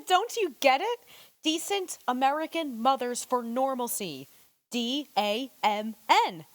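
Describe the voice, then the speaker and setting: A woman's voice: high voice, animated, slow, dry recording. A woman playing a wisecracking young newspaper reporter in a radio play, wry and teasing. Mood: wry amusement.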